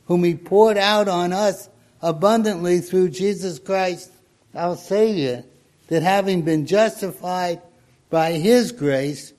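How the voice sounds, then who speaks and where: A man in his eighties preaching a sermon, reading scripture in a slow, measured way. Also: high voice, warm tone. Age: 80s.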